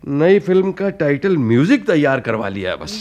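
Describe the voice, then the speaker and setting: Self-assured film director, animated delivery. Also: male voice, fairly high pitch, normal speed, highs cut off. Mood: confident, explaining. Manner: expository, theatrical, with English phrases mixed in. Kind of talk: Hindi radio play acting.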